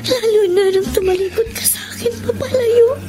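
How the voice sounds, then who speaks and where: A woman's voice: very high-pitched, normal speed. A woman acting a guilt-ridden, pleading young bride in a Tagalog radio drama, delivered with emotional melodrama. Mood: tearful, anguished. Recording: music bed behind the voice.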